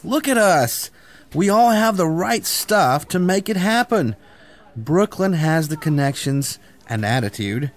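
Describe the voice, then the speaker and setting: A man's voice: a little high, lively intonation, a little slow. A man playing a cocky, grandiose schemer who leads a band of misfits in a comedic sci-fi radio drama, boastful and persuasive. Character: cocky, grandiose schemer, self-appointed leader of misfit would-be conquerors.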